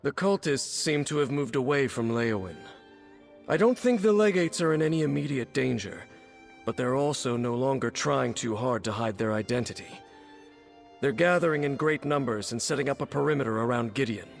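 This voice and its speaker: A man's voice: medium pitch, animated, normal speed, gravelly, soft background music. A man playing a resolute army captain in a video game, earnest and commanding. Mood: grave, resolute. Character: resolute male army captain of a fantasy brigade. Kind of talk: video game character acting.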